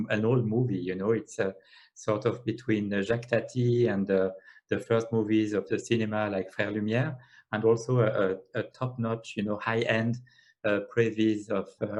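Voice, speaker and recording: Swiss guy w heavy french accent, lower voice, lots of uhs, excited. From Switzerland. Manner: hesitant, many uh fillers, enthusiastic. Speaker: panel guest. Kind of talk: casual video call panel.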